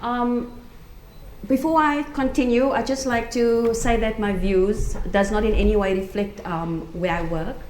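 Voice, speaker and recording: A woman's voice: fairly high pitch, steady tone, unhurried, a little mellow, a little hiss or hum. A woman in her forties with a South African accent, giving an earnest conference talk with frequent fillers and restarts. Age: 40s. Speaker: conference speaker. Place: conference room. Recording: echoey conference room.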